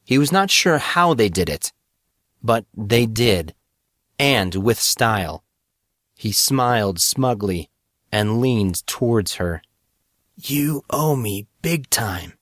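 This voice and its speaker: Slow-paced man narrating a story. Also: fairly low pitch, animated, fairly bright tone, dry recording, clean studio sound. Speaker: narrator of a fantasy fiction podcast. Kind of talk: scripted audiobook-style story narration.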